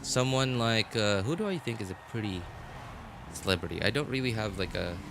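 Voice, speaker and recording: A man's voice: on the low side, lively intonation, fairly quick, gravelly, noisy background. A man giving casual, rambling livestream commentary, hesitantly thinking aloud and often rephrasing himself as he goes. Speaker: game livestreamer.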